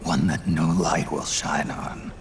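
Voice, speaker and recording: An actor playing a grizzled, world-weary aging soldier and grave mentor in a video game, with a growling, halting, emphatic delivery. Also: male voice, rumbling low, fairly even intonation, noisy background. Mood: grim, weary. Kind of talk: cinematic video game acting. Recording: loud music behind. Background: loud score music.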